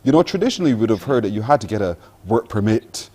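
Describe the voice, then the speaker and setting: A man's voice: on the low side, big pitch swings, quick pace, a little mellow. Upbeat man hosting morning TV. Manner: upbeat, encouraging, chatty. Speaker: morning show host. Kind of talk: casual TV interview. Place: TV studio.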